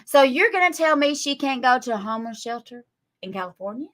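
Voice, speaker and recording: A woman's voice: high voice, expressive, a little fast, fairly bright tone. Woman in her forties, scolding. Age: 40s. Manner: scolding, sarcastic, drawling. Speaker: livestream guest. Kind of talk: live stream rant at critics.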